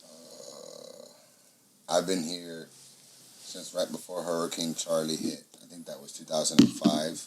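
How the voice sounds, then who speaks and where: A man's voice: very deep voice, slow, creaky, very noisy. A man from Texas co-hosting a comedy podcast, telling stories with lots of swearing and jokes. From San Antonio, Texas. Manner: profane, joking storyteller. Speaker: podcast co-host. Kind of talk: casual storytelling.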